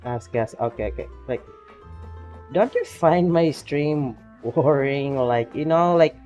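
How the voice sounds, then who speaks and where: A man with a non-native English accent chatting casually while streaming a game, halting and full of fillers. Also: a little high, darkish tone, dull audio, soft background music. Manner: halting, filler-heavy, self-conscious. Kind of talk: casual live game commentary.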